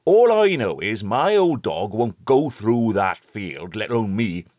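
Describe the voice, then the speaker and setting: A man's voice: on the low side, big pitch swings, measured pace, darkish tone, no room sound, clean studio sound, voice pushed up. A man voicing a grave, superstitious old shepherd who talks in a broad rustic dialect. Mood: amused. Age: older. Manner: broad country dialect, ungrammatical rustic speech.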